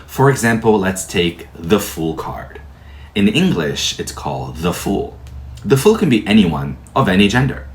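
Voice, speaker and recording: A man whose first language is Portuguese, calmly explaining tarot in a measured, soft-spoken way. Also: on the low side. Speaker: tarot teacher. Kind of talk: calm explanatory lecture.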